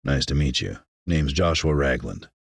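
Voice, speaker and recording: A man voicing a gruff, wary survivor in his thirties with a plain, dry manner, in an audiobook. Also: extremely low pitch, lively intonation, normal speed, dry recording, voice pushed down, exaggerated voice. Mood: earnest, dry.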